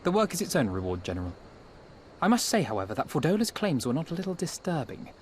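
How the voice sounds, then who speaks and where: A man's voice: on the high side, big pitch swings, fast, slight background noise. A man playing a courteous, thoughtful officer who speaks formally and eloquently in a video game cutscene. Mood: concerned.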